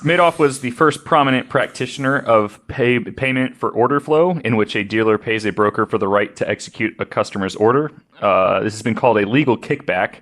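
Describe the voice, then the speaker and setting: A man's voice: medium pitch, a little fast, hardly any pauses, fairly bright tone. A man hosting a podcast, reading researched notes aloud in an informal, conversational way. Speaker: podcast host.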